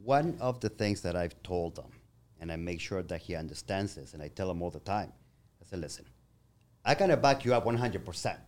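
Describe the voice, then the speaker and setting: A man's voice: low voice, fairly quick. A man in his forties, an electrical contractor, telling a story in a casual roundtable chat and quoting his conversations with an apprentice. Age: 40s. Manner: lively, quotes conversations, laughs often.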